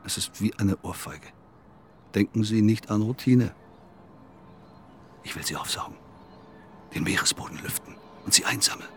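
An actor in a radio play as a morbid, clinging, sleepless man, brooding and insistent, often hushed. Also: fairly low pitch, moderate pace, long pauses, slight background noise, lightly acted. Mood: insistent, eerie. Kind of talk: intimate radio play monologue. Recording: studio radio drama, faint music.

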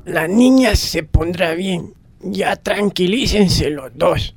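A woman playing a gruff but caring bookish man, grumbling and unhurried, in a radio drama. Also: lowish voice, animated, a little mellow, dry recording, heavily acted. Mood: concerned. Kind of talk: amateur radio drama acting.

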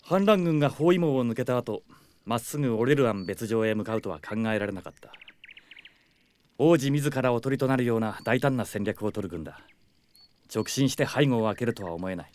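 A man's voice: mid-range voice, lively intonation, brisk, a little mellow, lightly acted. An actor playing a proud, stubborn young male soldier in a Japanese radio drama, speaking firmly and formally. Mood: composed, analytical. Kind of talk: Japanese anime-style radio drama acting.

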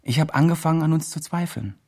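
A man's voice: on the high side, fast, darkish tone. An actor playing an earnest activist who narrates his friend's progress in the first person in a radio play. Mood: moved, reflective.

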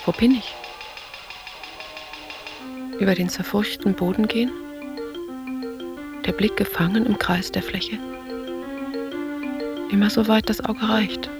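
A middle-aged woman of the theatre, introspective and detached, in a literary radio play. Her mid-pitched voice has a noticeable fry and gives out sparse, reflective phrases over clearly audible music. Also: steady tone, takes it slowly, pauses often. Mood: pensive, desolate.

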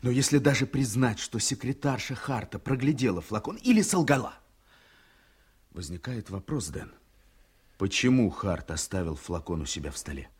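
An actor playing a principled legal assistant in a radio drama, reasoning in a measured, formal, argumentative way. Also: male voice, medium pitch, lively intonation, breathy edge. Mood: questioning. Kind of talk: Soviet radio drama acting.

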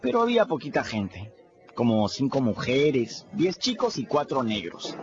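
Animated young runaway boy storyteller. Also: medium pitch, measured pace, muffled recording. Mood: storytelling. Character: lively runaway boy narrating his river adventures. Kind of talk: radio drama storytelling. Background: music.

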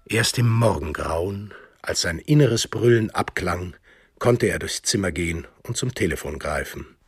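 A man's voice: low voice, expressive, measured pace, breathy edge. A man narrating a radio drama in a scripted, literary storytelling style. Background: music.

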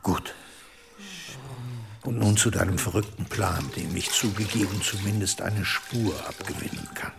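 An actor playing a stern, scheming high-ranking medieval churchman in a German radio drama, measured and commanding. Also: fairly even intonation, gravelly, noisy background. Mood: calculating, insistent.

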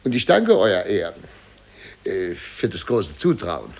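An actor playing a gullible, pompous small-town mayor in a radio play, stammering and obsequious. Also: male voice, low-pitched, wildly swooping pitch, unhurried, vocal fry, heavily acted. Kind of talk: 1950s German radio play acting.

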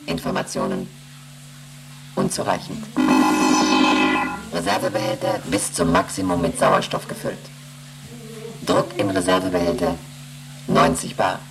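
An actress playing a female ship's computer in a German radio play, reciting emergency readings in a clipped, procedural monotone. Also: a bass voice, unhurried, gravelly, long pauses, loud music behind the voice, exaggerated voice, rough recording. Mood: impassive, procedural.

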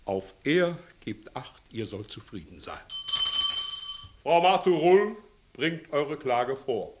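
An actor playing a sly, blustering village judge in a classical German comedy radio play, wheedling and evasive. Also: male voice, mid-range voice, very animated, slow pace, heavy background noise. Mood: sly, submissive. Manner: blustering, wheedling, swinging between muttering and shouting.

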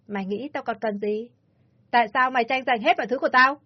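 A spiteful woman kidnapper full of jealousy spits threats in a raised, strident voice, as a woman narrator puts her on. Her husky edge cuts through a dull, lo-fi audio-story recording. Quiet music plays behind her. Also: on the high side, fast, pitched above the performer's own voice, natural voice. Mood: bitter. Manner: harsh, contemptuous and shouting.

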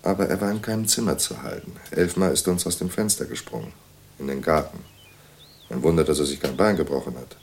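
An actor playing a matter-of-fact, dryly wry psychiatric clinic doctor in a naturalistic radio play. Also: male voice, very deep voice, fast talker, vocal fry, a little hiss or hum. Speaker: actor in an audio drama. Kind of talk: naturalistic audio drama dialogue.

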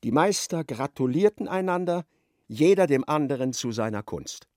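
A man narrating a radio play in an expressive, literary storytelling style. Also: fairly high pitch, moderate pace, dry recording, clean studio sound.

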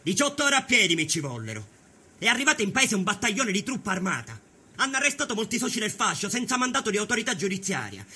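High-pitched, urgent poor miner. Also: male voice, big pitch swings, fast talker, pushed and bright, slightly rough, full character voice. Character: poor Sicilian sulphur miner, earnest, aggrieved striker. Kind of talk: dramatised documentary voice acting.

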